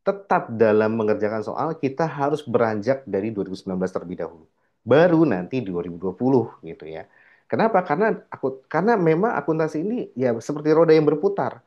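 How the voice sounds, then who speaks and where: A man's voice: mid-range voice, expressive, quick pace, highs cut off. A man teaching like an informal lecturer, explaining step by step and often asking questions that he answers himself. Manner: asks rhetorical questions and answers them, frequent check-in tags. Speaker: accounting lecturer.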